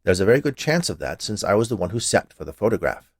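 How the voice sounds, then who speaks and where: A man's voice: fairly low pitch, big pitch swings, quick pace, no echo, clean studio sound. A man voicing a stern, fussy small health inspector with a curt, pedantic manner in a storybook tale. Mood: irritated. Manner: officious, pedantic, curt, defensive.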